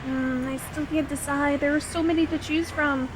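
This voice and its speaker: A woman playing a sweet, bashful young woman talking to her best friend in an ASMR roleplay, expressive and a little hesitant. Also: high-pitched, moderate pace, noisy background, lightly acted. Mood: excited, cute. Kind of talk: ASMR roleplay audio drama.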